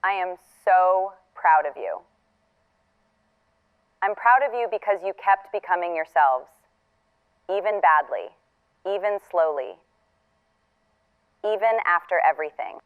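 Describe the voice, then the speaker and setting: An actress playing a wry, tender middle-aged woman leaving a farewell message, reflective and halting, through a narrow playback filter. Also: fairly low pitch, animated, clear, resonant tone. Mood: tender, proud. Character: wry, tender older woman saying a last goodbye. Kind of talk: recorded farewell message in audio fiction. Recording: thin, phone-like recorded playback.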